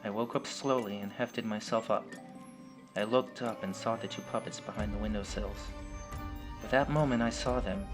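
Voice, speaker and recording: A man's voice: fairly low pitch, fairly even intonation, moderate pace. A man reading a scripted first-person horror story as its storyteller. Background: music.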